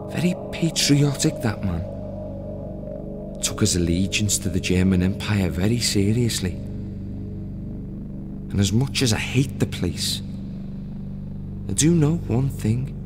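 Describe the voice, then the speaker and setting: A bitter, brooding dead crewman speaks slowly in a lowish voice, a husband and father grieving what he lost. Every line comes unhurried and heavy as the radio drama lets it sink in. Music plays clearly beneath his words. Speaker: actor in an audio play monologue. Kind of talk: scripted dramatic monologue.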